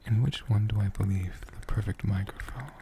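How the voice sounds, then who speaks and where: A man doing soft-spoken, whispered ASMR in a gentle, deliberate manner, close to a condenser mic. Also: on the low side, noisy background. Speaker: ASMR creator answering viewer questions. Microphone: USB condenser mic, close up.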